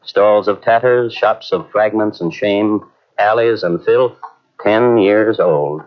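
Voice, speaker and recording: A man's voice: lowish voice, slow. A man giving ornate, sardonic scripted narration for an old radio drama. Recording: muffled old radio recording.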